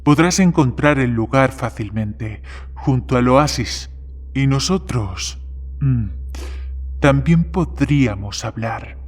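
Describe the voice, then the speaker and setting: A man's voice: mid-range voice, animated, slow. A man playing guarded male characters in the dialogue of an audio horror story. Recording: background music under the voice. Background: music.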